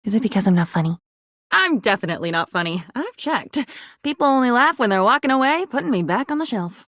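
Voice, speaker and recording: A woman's voice: fairly high pitch, big pitch swings, quick pace, fairly bright tone, no echo, studio quality. An actress voicing a living diary in a video game, a wounded, sardonic woman with a theatrical, brooding manner. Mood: self-pitying, wry. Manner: theatrical, sardonic, brooding, swinging between muttering and outbursts. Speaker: video game character voice actor. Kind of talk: dramatic video game voice acting.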